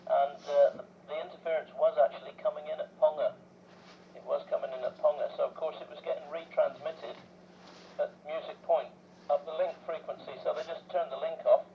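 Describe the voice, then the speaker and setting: Chatty, jokey New male radio ham, gravelly fry, faint hiss on the transmission. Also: a little high, level tone, fairly quick. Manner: chatty, jokey, tags statements with questions. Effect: two-way radio. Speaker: amateur radio operator. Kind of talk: casual radio chat. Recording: muffled, noisy two-way radio transmission.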